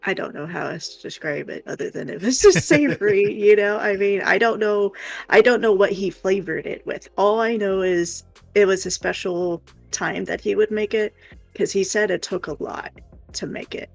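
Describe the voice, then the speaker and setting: A woman co-hosting a livestream in casual chat, rambling and hesitant as she thinks aloud, with a creaky voice. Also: medium pitch, normal speed, no room sound, faint music behind. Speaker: co-host of an art livestream.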